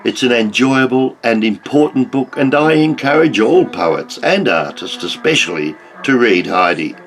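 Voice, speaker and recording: An older Australian man reading a scripted book review in a measured, literary manner over music. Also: mid-range voice, animated, fairly bright tone, low-quality recording.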